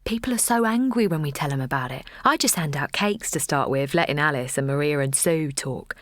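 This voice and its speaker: A woman's voice: low voice, expressive, fairly quick, dry recording, studio quality. An actress playing Linda, a chatty, bubbly young mum who narrates her campaign in an audio drama. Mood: warm. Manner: chatty, bubbly, laughing often. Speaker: narrator and lead character.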